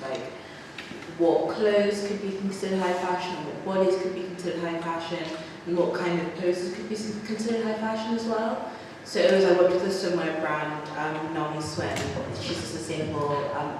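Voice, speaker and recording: A young woman from Nigeria talks through her photography work in a considered, filler-laden way. She sounds far from the microphone, with a long echoing reverb around her. A steady hum of noise sits under her voice. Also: low voice. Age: 20s. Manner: thoughtful, many fillers like "kind of". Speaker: photography trainee. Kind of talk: panel discussion answers. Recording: echoey room, distant mic, noisy.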